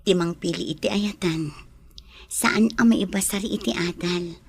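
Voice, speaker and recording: A woman with an Ilocano accent playing a gentle, ailing lady of the house in an audio drama, in a breathy voice. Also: lowish voice, moderate pace. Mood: wistful.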